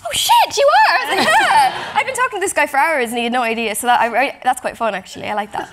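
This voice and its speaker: A young woman tells stories at a rapid clip on a panel stage. Her voice is high and animated, with quick acted-out asides. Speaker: actress on a panel. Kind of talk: festival panel conversation. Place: stage in front of a live audience.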